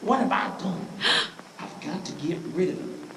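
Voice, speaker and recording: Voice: medium pitch, animated, a little slow, fairly bright tone. An actor playing a panicked, guilty ghost in an amateur audio drama, speaking in an agitated, desperate way. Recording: echoey, distant, faintly noisy.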